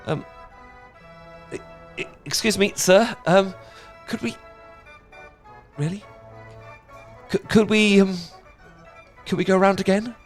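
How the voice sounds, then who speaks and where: A man's voice: high-pitched, takes it slowly, pauses often, faint music behind. A British man playing a cute, awkward young dork in a romantic roleplay, stammering and rambling as he talks. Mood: shy, smitten. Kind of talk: romantic ASMR roleplay acting.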